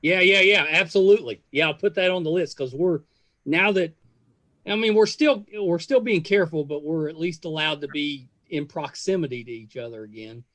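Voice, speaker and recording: A man's voice: a little high, normal speed, a bit bright, dry recording. A middle-aged man hosting a casual interview, speaking in a folksy, chatty way. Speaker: podcast host.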